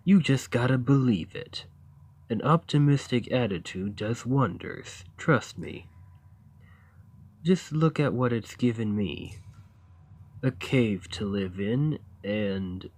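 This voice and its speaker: A man playing a friendly, naive, awkward giant who lives in a cave, in an ASMR roleplay, speaking in a hesitant, earnest, gently upbeat way. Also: medium pitch, slightly rough, full character voice. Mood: awkwardly optimistic. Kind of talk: ASMR roleplay, acted monologue to the listener.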